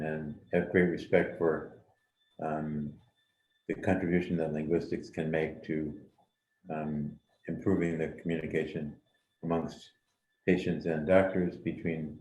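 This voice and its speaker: Man, very deep, monotone, hesitant, echoey room. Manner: hesitant, with frequent ums. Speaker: physician panelist. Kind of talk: video call introduction. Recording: roomy echo.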